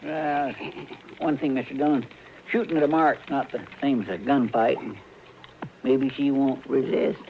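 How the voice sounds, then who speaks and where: An actor playing a simple, deferential marshal's sidekick in a 1950s radio western, with a folksy, polite drawl. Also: male voice, mid-range voice, fairly even intonation, a little slow, vocal fry. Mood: hesitant, deferential. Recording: dull, noisy old radio recording.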